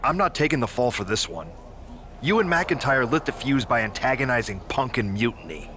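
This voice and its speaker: An actor playing a stern, pragmatic wrestling boss, blunt and businesslike, in a video game cutscene. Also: male voice, mid-range voice, expressive, a little fast, sharp tone, a little hiss or hum. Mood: defensive.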